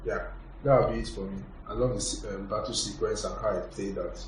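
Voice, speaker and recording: A man's voice: on the low side, fairly even intonation, brisk. A man giving his opinions as a panelist in a casual review discussion. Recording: very echoey room, distant mic, faint noise.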